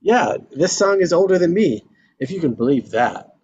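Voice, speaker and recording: A man playing a discontented, self-pitying married man in his thirties in a live screenplay read, speaking casually and haltingly. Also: fairly high pitch, animated, a little mellow, husky, muffled recording. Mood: wistful, teasing.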